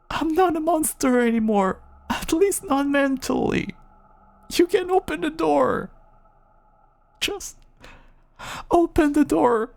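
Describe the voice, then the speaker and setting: A man playing a gentle, apologetic, seemingly cured vampire husband in an audio roleplay, pleading tenderly in a soft, breathy, stammering voice. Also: very high-pitched, exaggerated voice. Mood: desperate pleading. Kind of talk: intimate audio roleplay acting.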